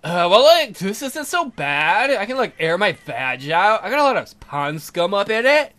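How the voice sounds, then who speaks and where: A man's voice: high-pitched, very animated, moderate pace, sharp tone, voice pushed up, heavily acted. A man voicing a ditzy young maiden who drawls and says "like" constantly, in a visual novel playthrough. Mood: breezy. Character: ditzy young maiden with valley-girl speech.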